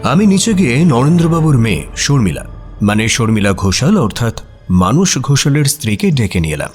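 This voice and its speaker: A man's voice: mid-range voice, very animated, fairly quick, breathy edge. A man playing a detective's eager, inquisitive companion who also narrates the audio story expressively. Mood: narrating. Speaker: narrator and sidekick character. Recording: music behind the voice.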